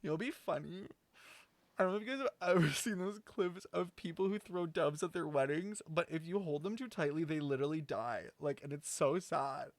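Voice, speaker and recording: Streamer, young woman: casual playthrough chatter, sarcastic, sweary, excitable outbursts, close dry mic. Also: sits low, moderate pace, no echo. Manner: slangy, sweary, sarcastic, animated exclamations. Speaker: game streamer.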